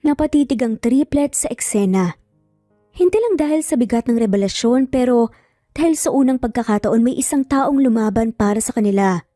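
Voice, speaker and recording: Mellow, high-voiced Filipino woman storyteller. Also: expressive, normal speed, no room sound, studio quality, faint music behind. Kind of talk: scripted dramatic narration.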